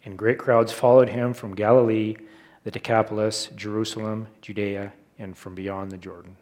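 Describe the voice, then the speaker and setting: A man gives a scripted reading of scripture. His voice is somewhat lower than average, and he narrates the text aloud. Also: little pitch movement, moderate pace, a little mellow. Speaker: volunteer scripture reader.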